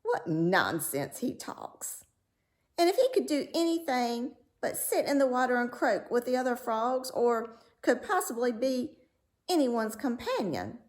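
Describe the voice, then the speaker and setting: High-pitched spoiled young storybook princess played by a woman. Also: sing-song, a little slow, a little mellow. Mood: disdainful. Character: spoiled young princess, tearful, eager, secretly scornful. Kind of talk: storybook read-aloud character voice.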